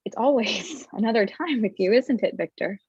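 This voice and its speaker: A woman's voice: mid-range voice, animated, normal speed, a little mellow, slightly rough, no room sound, highs cut off. A woman playing an affectionate, forthright young sweetheart in a period drama reading, teasing and tender.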